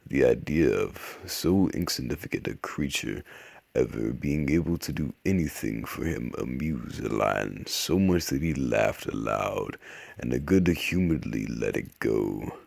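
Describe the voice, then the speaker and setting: A man playing an old man narrator, reading storybook fables slowly and deliberately in a raspy voice. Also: extremely low pitch, expressive, a little mellow, no room sound, full character voice. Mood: solemn, unhurried.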